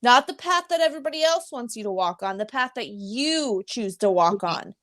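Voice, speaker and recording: A woman from Pennsylvania giving a calm, instructive card reading as a co-host. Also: fairly high pitch, normal speed. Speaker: psychic reader. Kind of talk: live spiritual reading.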